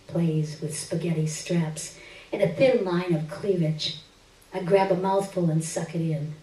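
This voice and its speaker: A woman giving an expressive, theatrical reading of a first-person short story on a stage. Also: on the low side. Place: live stage reading indoors. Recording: roomy stage, distant microphone.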